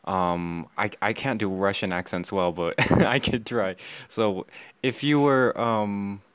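A man's voice: on the low side, takes it slowly, clean tone, no echo. A young adult American man talking casually and reflectively in a conversational interview. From United States. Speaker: language learner being interviewed.

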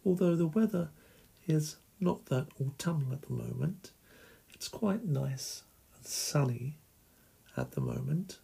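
Solo podcast host, man from England, middle-aged, slow deliberate delivery, gravelly husky voice. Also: a little high, expressive, warm tone.

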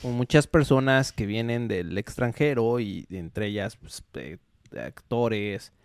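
A man's voice: on the low side, slow, smooth, dry recording, clean studio sound. A young Mexican man who co-hosts a podcast and speaks in a Mexican accent, explaining ideas in a casual, searching way. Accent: Mexican Spanish. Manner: thoughtful, explains with many fillers and restarts. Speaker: podcast co-host. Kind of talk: casual chat.

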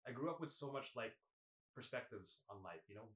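A young man talking quickly as a podcast guest. His voice sits at an average pitch. He chats in a loose, friendly way, leaning on "you know" and "like". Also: expressive, a bit bright. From Thailand. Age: young adult. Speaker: guest filmmaker. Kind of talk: casual interview chat.

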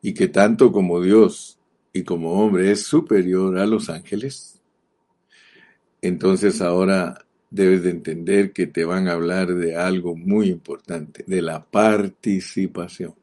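Deep-voiced Guatemalan preacher, emphatic. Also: male voice, steady tone, dark tone. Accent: Guatemalan Spanish. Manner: earnest, emphatic, repeats key words, slows down to stress them. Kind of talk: sermon.

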